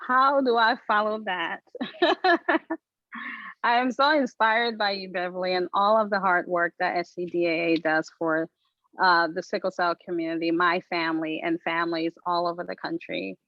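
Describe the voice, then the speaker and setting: A woman's voice: lowish voice, fairly even intonation, normal speed, no room sound, dull audio. A woman from Liberia speaking personally and conversationally as a patient advocate on a panel.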